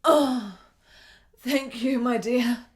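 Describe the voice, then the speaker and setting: Sickly, brooding woman played by an actress, period radio play. High voice, very slow and deliberate, devoted and philosophical. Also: a little mellow. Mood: weary, tender. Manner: slow, deliberate, literary phrasing. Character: gravely ill, melancholy woman, intense, philosophical, devoted. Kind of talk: period radio drama acting.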